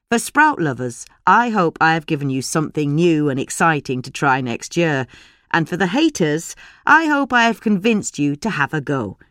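A woman's voice: low voice, no room sound, clean studio sound. A woman reading a scripted article aloud as podcast narration. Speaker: reader of a gardening magazine article.

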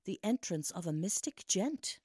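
A woman's voice: medium pitch, lively intonation, moderate pace, darkish tone, slightly rough, no room sound, studio quality. A woman co-hosting a podcast, narrating a rhyming comic story in a playful, theatrical way.

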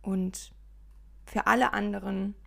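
A woman's voice: mid-range voice, steady tone, slow pace, vocal fry. A woman co-hosting a podcast, speaking earnestly to listeners in casual chat with a regional German dialect. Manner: earnest, appealing directly to listeners. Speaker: podcast co-host.

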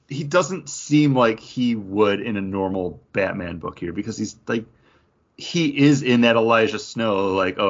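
A man's voice: on the low side, a bit bright. A man co-hosting a comic book podcast in casual, conversational talk. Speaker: podcast co-host reviewing comic books. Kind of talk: casual chat. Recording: dull, band-limited audio.

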